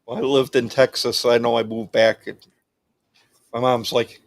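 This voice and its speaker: A man's voice: medium pitch, little pitch movement, moderate pace, vocal fry. Wisconsin man, casual co-host, chuckles after his lines. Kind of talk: casual chat.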